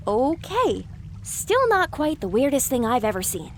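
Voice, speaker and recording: A woman's voice: sits high, sing-song, unhurried, a little hiss or hum, full character voice. A woman playing an anxious, sarcastic young female pony muttering exasperated asides in an audio drama. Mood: bemused.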